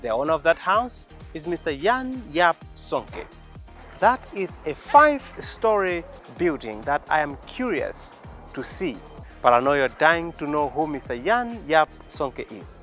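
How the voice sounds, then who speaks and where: A man in his forties hosts a TV interview in a home living room. His voice sits high and swings widely in pitch, and his manner is playful and teasing. He talks into a lapel mic with faint music underneath. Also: sing-song, unhurried, fairly bright tone. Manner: playful, teasing, laughs often. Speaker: TV show host. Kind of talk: lively TV interview.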